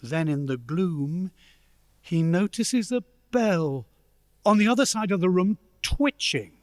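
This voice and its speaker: An actor narrating a radio play as a measured, dramatic storyteller of a gloomy ghost tale. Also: male voice, high voice, lively intonation, a little mellow, no room sound, clean studio sound.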